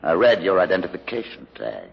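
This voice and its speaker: An actor playing an intelligent mutant leader in an old radio drama, speaking in a courteous, measured, formal way with cool pride. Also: male voice, sits low, sing-song, creaky, slightly rough, full character voice. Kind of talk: 1950s radio drama acting. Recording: muffled old radio recording, long reverb.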